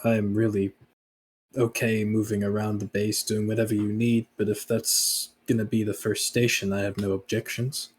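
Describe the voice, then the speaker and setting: A man playing a laconic, obedient hired gun and veteran in a tabletop roleplay, halting, deferential and deadpan. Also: on the low side, slightly rough. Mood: dry, deferential. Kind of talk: improvised in-character tabletop roleplay.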